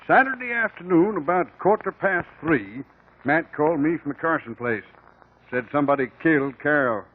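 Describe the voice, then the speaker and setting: An actor playing a plainspoken small-town American sheriff in a 1950s radio drama, with rustic, ungrammatical country talk. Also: male voice, a little high, expressive, moderate pace, slightly rough, phone-quality sound. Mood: grave, matter-of-fact. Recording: old band-limited radio recording.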